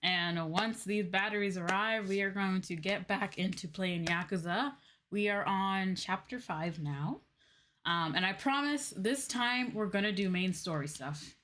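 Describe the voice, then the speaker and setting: A woman's voice: mid-range voice, unhurried, a bit bright, a little hiss or hum. A woman livestream host chatting casually to her viewers in an informal, gushing way. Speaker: game livestream host, VTuber.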